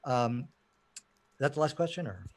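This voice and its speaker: A man's voice: mid-range voice, lively intonation, a little slow, crowd noise. A man from Pakistan arguing emphatically in a live online debate. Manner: emphatic, combative, fond of "you know".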